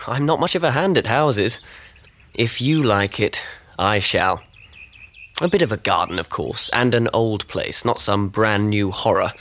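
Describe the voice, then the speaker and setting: A young husband in a radio drama. His voice is a bit low, with plenty of movement in the intonation. He sounds casual and sure of what he likes. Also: expressive, unhurried, fairly bright tone. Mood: casual, easygoing. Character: easygoing English husband, casual and decided.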